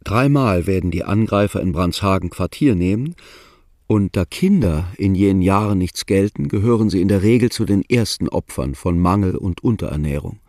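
Calm low male radio narrator. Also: no echo, studio quality. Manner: calm, measured, reflective.

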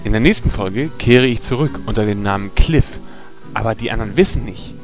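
A man's voice: on the low side, fairly quick, over music, close to an everyday voice. A man playing an eager, chummy returning villain in parody audio drama banter.

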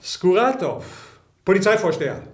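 A man's voice: high-pitched, very animated, moderate pace. An actor playing a smug, insinuating male Tsarist police chief in a German radio play, condescending and theatrical, in an echoey room. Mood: insinuating, superior.

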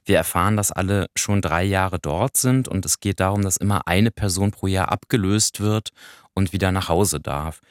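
A man's voice: low-pitched, normal speed, dry recording, clean studio sound. A man co-hosting a podcast, explaining and reviewing in a conversational way with small asides. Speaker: podcast co-host. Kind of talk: conversational radio play review.

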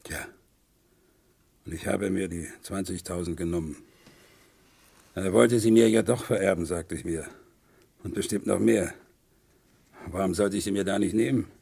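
A man's voice: sits low, normal speed, vocal fry, long pauses, dark tone, husky, exaggerated voice. An actor in a 1970s radio crime play voicing a weary, broken man who confesses with bitter, resigned repetition. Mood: resigned confession. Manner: resigned, repeats himself bitterly.

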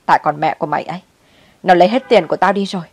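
A woman's voice: deep voice, fast, no echo. A woman voicing a bitter, angry old grandmother in a story, scolding and wailing in outrage. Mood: wailing, furious.

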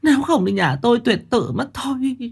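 A male storyteller voicing a desperate, weeping old grandmother who pleads in a high, wailing voice. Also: big pitch swings, normal speed, gravelly, slightly rough, full character voice. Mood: pleading.

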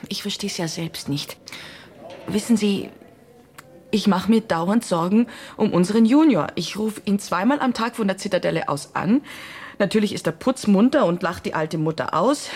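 Troubled middle-aged mother, husky, mid-pitched, apologetic, naturalistic radio drama. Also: expressive, normal speed, darkish tone. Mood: worried, apologetic.

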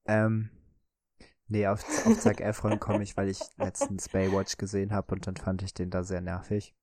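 A man co-hosting a podcast, chatting casually through a guessing game and often pausing on drawn-out fillers. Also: low voice, a little mellow, no echo, studio quality. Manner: hesitant, many drawn-out fillers. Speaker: podcast co-host.

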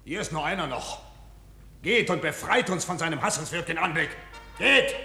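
Imperious scornful nobleman, sharp forceful tone, gravelly, harsh commands, 1950s radio verse drama. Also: on the high side, lively intonation, moderate pace, faint music behind, exaggerated voice. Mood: contemptuous command. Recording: echoey old radio studio recording.

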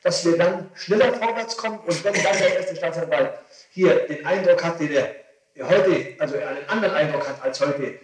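A man's voice: on the high side, recorded from a distance. A man speaking as a defence lawyer, explaining legal advice insistently and repetitively on an old 1960s tape. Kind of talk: legal advice in a recorded interrogation hearing. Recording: echoey, noisy 1960s archive tape.

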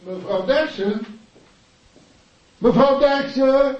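A man's voice: very high voice, drawn-out words, slight background noise, exaggerated voice. An actor playing a chatty, teasing night porter at an office switchboard in a radio play. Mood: calling out. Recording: muffled radio play recording.

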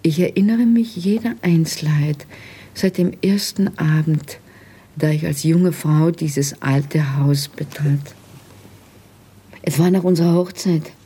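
An actress playing a tender, resigned wife facing arrest in a radio drama, hushed and breathy, speaking solemnly and intimately. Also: female voice, sits low, heavily acted. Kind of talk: literary radio drama acting.

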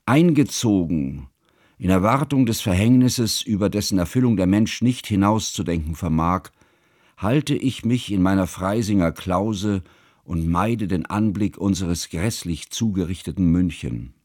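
A man's voice: sits low, animated, measured pace, darkish tone, no room sound, clean studio sound. A man playing a grave, bookish, sorrowful older chronicler, narrating formally and solemnly in a literary radio play.

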